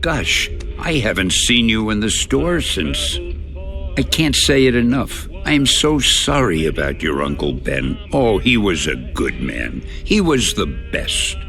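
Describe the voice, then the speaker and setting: Creaky, genial old shopkeeper. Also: male voice, lowish voice, sing-song, a little slow, pushed and bright, soft background music, heavily acted. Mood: sympathetic. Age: older. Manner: jovial, avuncular showman. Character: genial folksy old male comic shop owner. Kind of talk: video game voice acting.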